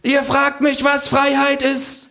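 A man voicing a brash, boozy, cynical male kangaroo in a comic reading, loud and slurring. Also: extremely high pitch, monotone, slow pace, creaky, pitched above the performer's own voice, full character voice. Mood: pompous proclaiming. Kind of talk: live comic book reading.

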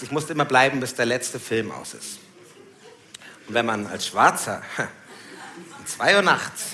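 Laughing stand-up comedian from Munich. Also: male voice, mid-range voice. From Munich, Germany. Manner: laughing, self-mocking, lively storytelling. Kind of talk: stand-up storytelling. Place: comedy stage. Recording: roomy stage recording with noise.

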